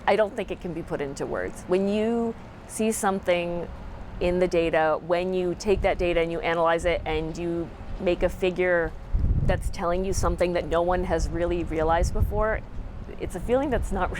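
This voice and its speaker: Lower-voiced woman scientist in interview. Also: fairly even intonation, moderate pace, darkish tone, a little hiss or hum, rough recording. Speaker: astronomer, interviewee. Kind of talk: unscripted interview remarks.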